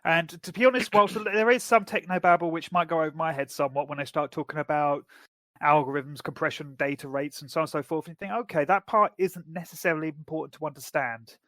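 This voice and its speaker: A man's voice: a little high, fairly even intonation, quick pace, pushed and bright, dry recording. A man co-hosting a podcast, casually discussing film and TV in a wordy, explanatory way. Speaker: podcast co-host.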